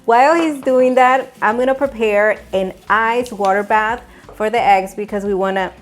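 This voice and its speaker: A woman's voice: medium pitch, fairly even intonation, normal speed. A woman hosting a home cooking show, giving upbeat step-by-step instructions and laughing often. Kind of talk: cooking demonstration. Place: home kitchen.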